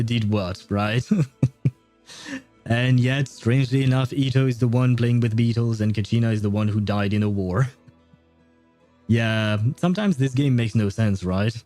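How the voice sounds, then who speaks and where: Mellow, rambling male game streamer. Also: medium pitch. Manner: rambling and jokey, laughs at himself. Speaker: gaming streamer. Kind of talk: casual live game commentary.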